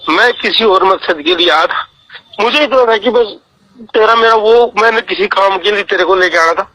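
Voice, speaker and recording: A man with a high, sharp voice pleads in a private phone argument. He sounds tearful and distressed, repeating himself and swearing oaths. It is a recorded phone call. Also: normal speed, no echo. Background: phone call audio.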